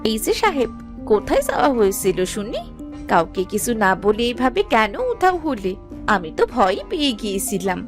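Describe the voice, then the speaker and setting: A woman's voice: a little high, sing-song, moderate pace. A woman playing a fussing, scolding village family woman in a cartoon story, with music behind. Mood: anxious scolding. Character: fussing, scolding village women of a family, wife and mother-in-law.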